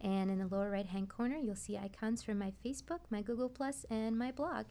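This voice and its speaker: Creaky-voiced woman giving informal advice. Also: a little high, fairly even intonation, a little fast, dark tone. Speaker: expert guest, prenatal massage therapist. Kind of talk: conversational advice on a radio talk show.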